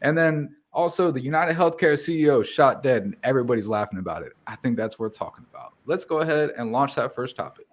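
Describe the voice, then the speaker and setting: A man's voice: medium pitch, lively intonation, quick pace, hardly any pauses, a little hiss or hum. A man hosting a casual podcast, upbeat and loosely conversational. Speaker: podcast host.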